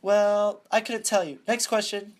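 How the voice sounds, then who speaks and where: A woman's voice: mid-range voice, steady tone, unhurried, lightly acted. A young woman playing an evasive young socialite woman in a student radio play. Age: young adult.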